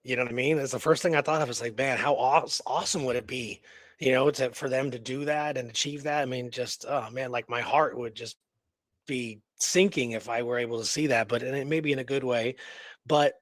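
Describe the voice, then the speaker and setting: A man hosting a talk show, discussing history in an animated, informal, conversational way. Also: mid-range voice, fairly quick, no room sound. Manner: animated, informal, peppers talk with "you know what I mean". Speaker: show host. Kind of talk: casual history discussion and storytelling.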